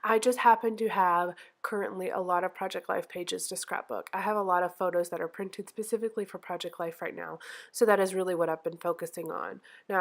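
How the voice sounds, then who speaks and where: Congested woman host, nasal from the flu, chatty craft voice-over full of ums and restarts. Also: on the low side. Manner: chatty, rambling, frequent ums and self-corrections. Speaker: scrapbooking channel host. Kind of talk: casual craft process voice-over.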